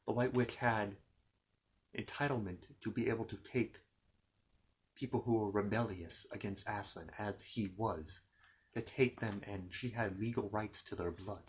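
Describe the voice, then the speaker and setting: A man's voice: on the low side, a little hiss or hum, rough recording. A man telling a children's fantasy story in an informal ASMR style, rambling along with playful, courteous asides to the listener.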